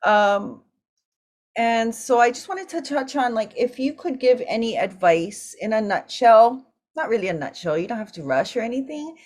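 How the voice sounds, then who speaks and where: Woman hosting a talk story. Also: on the high side. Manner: warm, emotional storytelling with Hawaiian words mixed in. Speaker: roundtable host and mother.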